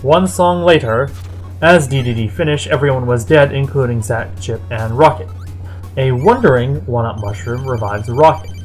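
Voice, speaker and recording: A woman reading a story aloud as narrator, with an expressive, theatrical delivery. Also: extremely low pitch, normal speed, soft background music. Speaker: fan fiction reader.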